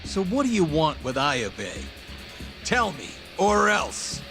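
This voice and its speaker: A man's voice: on the high side, very animated, slow, vocal fry, exaggerated voice. An actor playing a pushy, threatening male street punk in a video game, cocky and needling. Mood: triumphant, threatening. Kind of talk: video game cutscene acting. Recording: music behind the voice.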